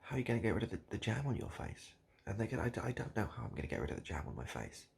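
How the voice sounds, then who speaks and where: A British man doing improvised, absurd comedy straight to the listener in a hesitant, repetitive, deadpan ramble. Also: fairly low pitch, racing, creaky, slightly rough. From United Kingdom. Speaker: comedic video host. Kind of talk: improvised absurd comedy roleplay addressed to the viewer.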